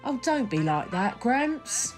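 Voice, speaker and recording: A woman's voice: fairly high pitch, sing-song, takes it slowly, over music. Woman acting an easygoing grown-up granddaughter. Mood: placating. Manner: casual, placating. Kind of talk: audio drama acting.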